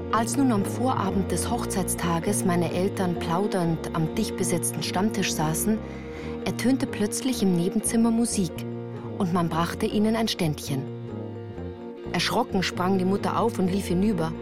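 An actress playing an earnest woman recalling her girlhood in measured, literary radio-play narration. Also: medium pitch, close to an everyday voice.

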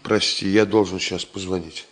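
A man's voice: deep voice, level tone, normal speed, a little hiss or hum, close to an everyday voice. An actor playing a brusque, agitated male chief engineer in a 1970 Soviet radio play, emotional and abrupt. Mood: subdued, heavy. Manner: emotional, abrupt, swinging from hushed to shouting.

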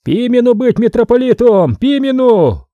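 A man doing a snapping, yelling interpreter. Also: extremely high pitch, big pitch swings, normal speed, no echo, narrow, tinny audio, voice pushed up. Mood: snappish. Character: rough young male interpreter, brawling.

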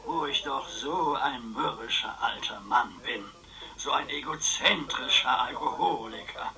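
An actor playing a wily, talkative old uncle in a German radio crime drama, excitable, teasing and boastful. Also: male voice, medium pitch, unhurried, low-quality recording. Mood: wry, animated. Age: older. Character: wily, boastful, chatty old uncle, retired wartime planner.

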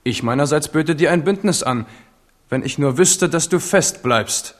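An actor in a German radio play playing a proud, steadfast royal heir, speaking in an earnest, formal, declamatory way. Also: male voice, a little high, unhurried, lightly acted. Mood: resolute. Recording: reverberant hall.

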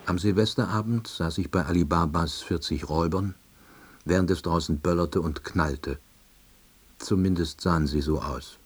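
An actor playing a lonely, melancholy laid-off East German husband, narrating his own story in a terse, deadpan diary manner. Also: sits low, moderate pace, slightly rough. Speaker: narrator and lead actor of a radio drama. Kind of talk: scripted first-person narration.

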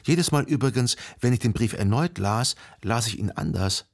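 An actor playing a melancholy, self-deceiving gentleman, a jilted lover narrating a literary radio drama in a measured, formal and carefully articulated way. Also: medium pitch, animated, creaky. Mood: wistful, brooding. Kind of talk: literary radio play narration, reading a letter.

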